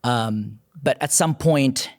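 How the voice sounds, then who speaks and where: A man's voice: mid-range voice, slow pace. A young man from the Philippines, with Filipino as his first language, thoughtfully telling his personal story as a podcast guest. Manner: earnest, thoughtful, often says "you know".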